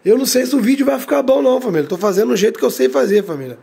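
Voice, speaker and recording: Hoarse rio guy hyping his bus game stream, husky voice, slangy, drops low on every tag word. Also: expressive, racing. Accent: Brazilian Portuguese, Rio de Janeiro. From Brazil. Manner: slangy, drags out catchphrases, tag words drop low and quiet. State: hoarse from a cold. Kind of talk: hyped gameplay commentary.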